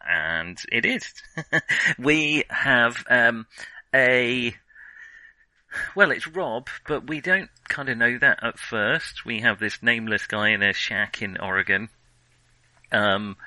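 A man co-hosting a film podcast, talking casually and thinking aloud with frequent hesitant pauses. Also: mid-range voice, lively intonation, sharp tone, no echo, poor audio. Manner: hesitant, thinking aloud, pausing often mid-sentence.

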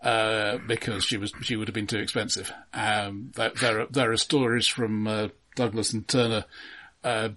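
A man co-hosting a casual film podcast, talking in a measured, analytical and somewhat halting way. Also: fairly low pitch, level tone, pushed and bright.

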